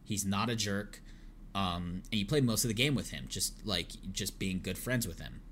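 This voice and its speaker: A man's voice: fairly low pitch, lively intonation, normal speed, fairly bright tone, a little hiss or hum. A man chatting as a podcast co-host, retelling at length with lots of ums and uhs. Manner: rambling retelling with many fillers. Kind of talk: casual chat.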